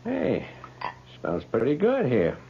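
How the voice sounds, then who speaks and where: A man's voice: mid-range voice, huge pitch swings, slow, vocal fry, heavily acted. An actor playing a cocky, reckless younger man in a radio drama, brash, slangy and dismissive. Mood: flippant, sly. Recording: muffled, hissy vintage radio recording.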